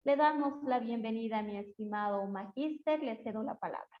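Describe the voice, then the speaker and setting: A woman's voice: fairly high pitch, a little fast, muffled recording. Woman reading a formal speaker introduction. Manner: reads with frequent fillers. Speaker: course coordinator introducing the speaker.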